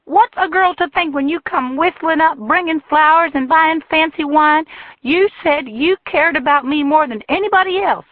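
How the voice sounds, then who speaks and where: A narrator voicing a furious, jilted young Southern woman who screams her accusations in a high, shrill voice. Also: normal speed, no room sound, phone-quality sound, close to an everyday voice. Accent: American Southern.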